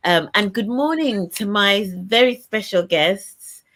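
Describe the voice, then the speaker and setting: A woman's voice: medium pitch, animated, takes it slowly, a bit bright. Chatty woman hosting a live stream. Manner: chatty, many fillers and restarts. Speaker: host. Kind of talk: casual live-stream chat.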